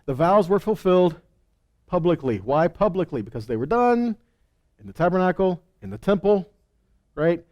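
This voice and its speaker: Male Bible teacher, slightly high-pitched, conversational sermon style, mixes scripture reading with plainspoken commentary and rhetorical questions. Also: big pitch swings, normal speed, muffled recording. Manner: conversational, punctuated with rhetorical questions and check-in words.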